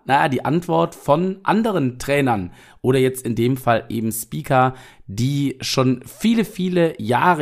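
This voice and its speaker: Energetic motivational male podcast host. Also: mid-range voice. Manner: energetic, motivational, addresses listener directly. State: recovering from illness. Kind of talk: solo podcast monologue.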